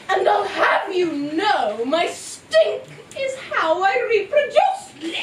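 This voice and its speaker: A woman's voice: extremely high pitch, sing-song, drawn-out words, exaggerated voice. A woman voicing a vain, genteel lady mushroom in a puppet show, with affected, theatrical airs. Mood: offended, proud. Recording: roomy, distant live bootleg, faint noise.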